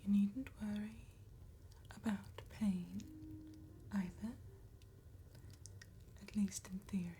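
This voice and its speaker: A woman playing an ancient, calm and seductive female vampire tattooist in a soft-spoken, whispered ASMR roleplay, with measured, formal phrasing. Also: medium pitch, a little hiss or hum. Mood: soothing, businesslike. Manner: slow, measured, formal, old-fashioned phrasing.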